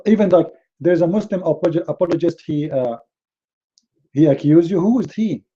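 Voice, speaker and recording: A man's voice: fairly high pitch, normal speed, warm tone, slightly rough, muffled recording. A man with a Middle Eastern Arabic accent, speaking English as a second language, heatedly rebutting a critic and often stumbling over words. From Middle East. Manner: indignant, repeats words and restarts often.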